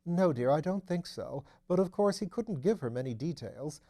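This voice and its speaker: Older aristocratic mother for audiobook, played by a man: chatty, refined, kindly, soft mellow tone. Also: on the high side, lively intonation, normal speed, voice pushed up. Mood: gossipy, genteel. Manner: gossipy, genteel, fussing kindly. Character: genteel dowager duchess, older mother, chatty. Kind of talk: audiobook character dialogue.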